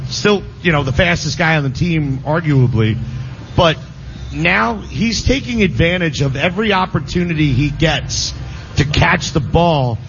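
A man hosting a casual sports radio show, talking fast and cutting in on his co-hosts. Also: on the high side. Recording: dull lo-fi broadcast with faint hiss.

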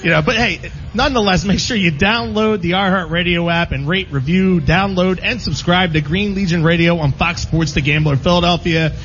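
A man's voice: sits high, moderate pace, hardly any pauses, fairly bright tone. A man hosting a live sports radio show, bantering and rolling through long introductions. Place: live remote broadcast at a casino. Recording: dull lo-fi broadcast with faint background noise.

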